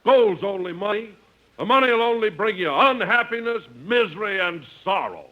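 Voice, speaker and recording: A man's voice: high-pitched, animated, moderate pace. A man playing a naive, preachy young prospector with earnest melodrama in a 1950s radio comedy. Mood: earnest, pleading. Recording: noisy, muffled 1950s radio recording.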